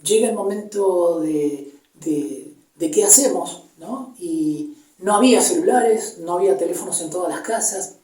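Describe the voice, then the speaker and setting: An Argentine man in his fifties speaks in a dark, relaxed tone as he gives a personal testimony. He is reflective and halting, often searching for the next word. The microphone sits at some distance in a roomy, echoing space. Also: high voice. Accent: Argentine, Buenos Aires area. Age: 50s. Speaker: interviewee giving personal testimony. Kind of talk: personal storytelling, unscripted recollection. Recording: echoey room, distant microphone.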